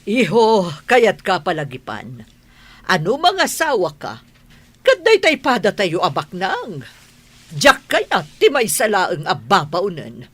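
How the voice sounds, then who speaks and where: A woman's voice: medium pitch, huge pitch swings, slow, heavily acted. An actress with a Filipino accent playing a stern, class-proud middle-aged mother in a radio drama, scolding and domineering. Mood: probing.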